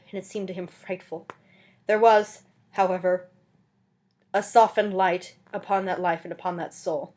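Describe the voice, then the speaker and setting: Woman giving a dramatic literary reading. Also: mid-range voice. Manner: earnest, theatrical, emphatic. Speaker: amateur audiobook reader.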